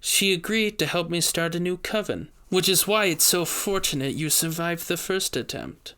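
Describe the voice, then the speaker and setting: A man voicing a sly high-society woman who leads a witch coven, soft and sweet, with a silky manner. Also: normal speed. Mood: smug, gloating. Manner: gloating, silky.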